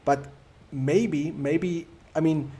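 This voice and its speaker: A man with a South African accent, whose first language is Afrikaans, hosting a live stream and answering viewer comments in a relaxed, reflective way. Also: fairly high pitch, very slow. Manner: reflective, easygoing and conversational.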